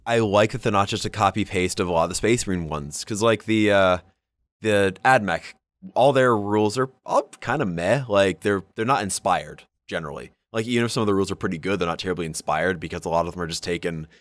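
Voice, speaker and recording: Low-voiced man, slangy and informal. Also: fairly quick, fairly bright tone, no echo. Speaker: podcast co-host. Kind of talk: casual chat about tabletop wargaming.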